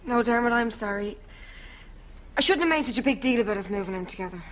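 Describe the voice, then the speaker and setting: A woman's voice: on the high side, expressive, fast, a bit bright. A young woman playing an apologetic, eager girlfriend in a studio sitcom. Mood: apologetic, hopeful. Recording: noisy studio audience, off mic.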